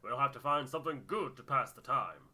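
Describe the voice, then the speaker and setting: A man's voice: medium pitch, animated, a little fast, pushed and bright. A man voicing a jovial older expedition storyteller in a game, with a hearty, posh, wordy manner. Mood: hearty, chummy. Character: jovial older male expedition storyteller, old-fashioned gentlemanly chap.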